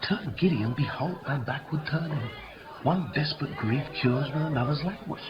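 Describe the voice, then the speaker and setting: An actor playing a brisk young noble friend, bantering and persuading in verse in a 1950s radio Shakespeare play. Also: male voice, fairly high pitch, expressive, over music, close to an everyday voice. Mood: chiding. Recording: muffled old radio recording.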